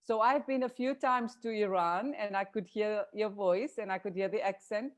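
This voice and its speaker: A woman's voice: medium pitch, moderate pace. A woman from South Africa answering questions on a video call in an earnest, grateful way, with frequent restarts. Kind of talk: reflective panel discussion.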